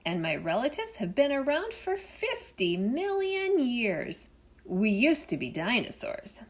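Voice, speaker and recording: A woman's voice: on the high side, wildly swooping pitch, voice pushed up, exaggerated voice. A woman voicing a proud whale who brags about its size, in a read-aloud storybook. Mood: boastful. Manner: bragging, slow and loud. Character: proud boastful giant whale.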